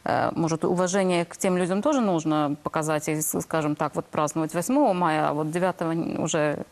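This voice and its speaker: A woman in her thirties asking questions on a political interview panel, halting as she searches for words. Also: sits low, steady tone, darkish tone. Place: TV studio.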